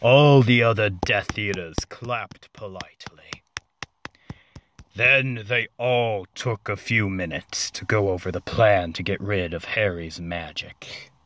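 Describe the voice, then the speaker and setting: A man narrating a comic story as a dramatic reading, deliberate and mock-serious, with dramatic pauses. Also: on the low side, fairly bright tone, slight background noise.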